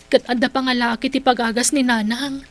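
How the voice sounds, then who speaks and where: High-voiced earnest young Ilocana daughter played by an actress. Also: female voice, fairly even intonation, a little fast, lightly acted. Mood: worried, dutiful. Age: young adult. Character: hardworking, determined young daughter standing up to her father. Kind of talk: Ilocano radio drama acting.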